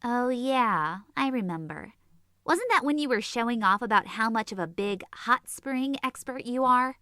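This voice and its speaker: Sharp, very high, close and dry: small teenage sorceress with a short fuse, sarcastic anime comedy teasing played by a woman. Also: lively intonation, moderate pace, no room sound. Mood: dry, skeptical. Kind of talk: comedic anime fan audio drama acting.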